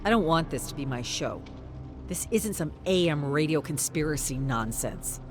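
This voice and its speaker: A woman plays a male TV boss in a dramatic story, stern and hard to faze. He sounds dismissive, with expressive pitch movement over music. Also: moderate pace. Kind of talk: dramatic audiobook character voice.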